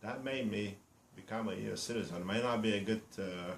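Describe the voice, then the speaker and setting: A deep-voiced Romanian man in his fifties speaks in blunt, halting English, filling pauses with fillers as he boasts about himself. The room around him is echoey, with faint ambient noise underneath. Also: gravelly. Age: 50s. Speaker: reality show applicant. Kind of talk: casual self-introduction to camera.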